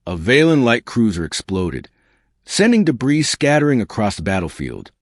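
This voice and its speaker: A man's voice: mid-range voice, sing-song, moderate pace, no room sound. Man narrating sci-fi, dry close sound. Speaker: audiobook narrator. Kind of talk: scripted narration of a sci-fi story.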